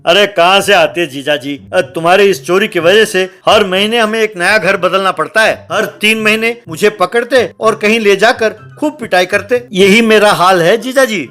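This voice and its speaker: A man's voice: high voice, normal speed, few pauses, pushed and bright, soft background music. A man voicing an aggrieved, boastful brother-in-law of a thief in a comedy cartoon. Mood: complaining. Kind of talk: Hindi comedy cartoon dialogue.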